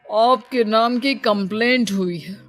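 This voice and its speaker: A woman's voice: fairly high pitch, slow pace, soft background music. A woman voicing a stern, official police inspector in a moral story.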